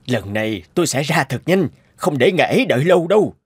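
Harried male scientist, lively intonation. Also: a little high, fast, husky. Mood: impatient. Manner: harried, grumbling. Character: busy, grumbling male research scientist, adult, absorbed in work. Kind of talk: cartoon voice acting.

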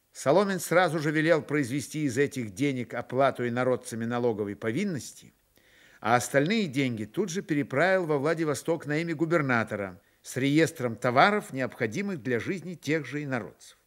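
A man narrating a dramatised novel for Soviet radio theatre in a measured, expressive storytelling manner. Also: medium pitch. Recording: 1980 radio play, crowd behind.

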